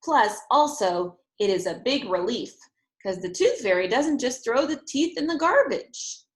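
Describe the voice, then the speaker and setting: A woman voicing an excitable, talkative little girl from a storybook, bubbly and wide-eyed, with emphatic, exclamatory childlike delivery. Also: a little high, measured pace, echoey room. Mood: delighted, proud.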